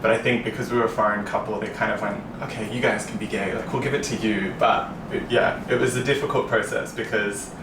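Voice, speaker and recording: A man from Australia speaks casually in a fairly low voice with an Australian accent. He sounds set back from the microphone in an echoey space, with clear noise behind him. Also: steady tone, quick pace, hardly any pauses, far from the mic. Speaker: interview panel guest. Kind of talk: casual personal account in a group interview.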